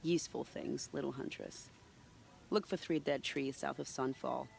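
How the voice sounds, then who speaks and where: A woman's voice: a bass voice, steady tone, normal speed, vocal fry, a little mellow, slight background noise, exaggerated voice. An actress playing a sly, flirtatious female spy in a game, teasing and confiding in a playful tone. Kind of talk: video game cutscene acting.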